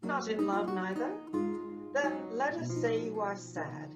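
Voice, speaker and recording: A woman's voice: on the high side, expressive, measured pace. A woman playing a poised, playfully coaxing Venetian lady with formal Shakespearean delivery. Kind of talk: Shakespearean audio drama acting. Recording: loud plucked lute music behind the voice. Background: lute music.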